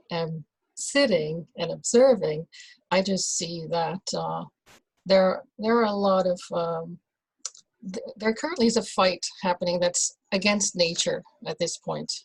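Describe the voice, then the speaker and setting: A woman from the Netherlands talks slowly and haltingly as an interview guest, pausing on fillers and restarting her sentences. Her voice sits fairly low, and the sound is dry and close, with no room around it. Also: vocal fry, breathy edge, dry recording, rough recording. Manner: slow and hesitant, many fillers and restarts. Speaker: guest, birth and rebirth doula. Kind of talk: casual conversational interview.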